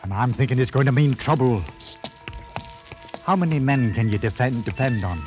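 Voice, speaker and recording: A man's voice: mid-range voice, animated, moderate pace, close to an everyday voice. Man playing a loyal, anxious British old soldier. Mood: uneasy. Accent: working-class English. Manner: earnest, plain-spoken, cautioning. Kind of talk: 1950s radio drama acting. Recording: muffled vintage 1950s radio broadcast, faint music.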